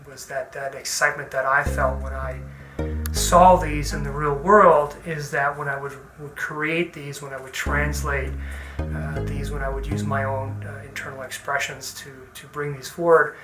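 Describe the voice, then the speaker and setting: A middle-aged man, an artist talking informally about his own work in a halting, reflective way, often repeating and rephrasing his words. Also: medium pitch, flat delivery. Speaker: visual artist. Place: indoor art studio. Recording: roomy echo, music underneath. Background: background music.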